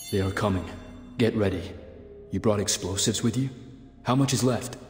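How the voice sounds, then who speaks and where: A grave, dutiful young crime clan boss, played by a man for a game cutscene, speaks in a lowish voice with formal, stoic restraint. His words ring out in a big reverberant space over music. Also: normal speed, lightly acted. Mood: commanding, focused. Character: solemn young male underworld clan leader, dutiful, grave. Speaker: video game voice actor. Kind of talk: dramatic game cutscene acting.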